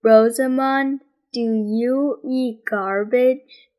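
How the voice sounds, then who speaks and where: A woman voicing a serious young boy detective in a storybook, deadpan and deliberate. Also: fairly high pitch, dull audio. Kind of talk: storybook character reading.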